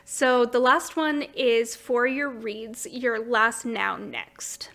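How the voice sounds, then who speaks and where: A woman's voice: fairly high pitch, extremely slow, creaky, pushed and bright. A woman hosting a podcast in a casual book chat, talking informally and chattily and laughing readily. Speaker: podcast host.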